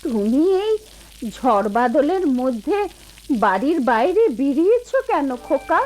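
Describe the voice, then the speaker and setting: A woman's voice: sits high, very animated, unhurried, darkish tone. A woman playing a meek, sorrowful old mother-in-law in a cartoon, with a pleading, plaintive way of talking. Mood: gentle. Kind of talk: animated cartoon voice acting. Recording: faint music behind.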